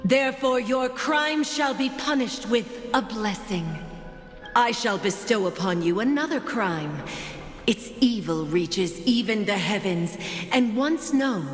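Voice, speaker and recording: A woman's voice: on the high side, animated, slow. Imperious female judge in an echoing hall. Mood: stern, imperious. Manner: solemn, grandiose, archaic formal speech. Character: imperious female judge bestowing merciless punishment. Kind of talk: scripted game voice acting, ceremonial monologue. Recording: echoey hall reverb under game music.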